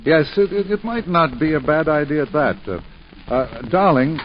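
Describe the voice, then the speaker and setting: A man's voice: on the high side, normal speed, crowd noise, close to an everyday voice. An actor playing a fond husband and father who hesitates and stammers in a 1940s radio drama. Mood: sheepish. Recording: dull 1940s radio broadcast with faint hiss.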